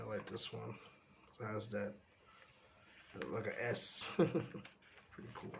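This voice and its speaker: A man, stuffy from a cold, casually talking through the pages of his sketchbook in a relaxed, meandering way. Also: mid-range voice, vocal fry, noisy background. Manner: relaxed, meandering, trails off often. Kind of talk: casual rambling commentary.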